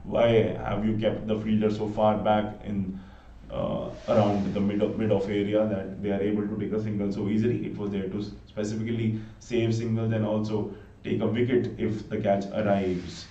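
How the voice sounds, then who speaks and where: A man from India, Hindi his first language, speaking English with an Indian accent as he gives chatty solo live cricket commentary, bursting into excited exclamations and repeatedly pleading for subscriptions. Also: lowish voice, moderate pace. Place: home room, bundled up against the cold. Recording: echoey room, distant mic, dull lo-fi sound.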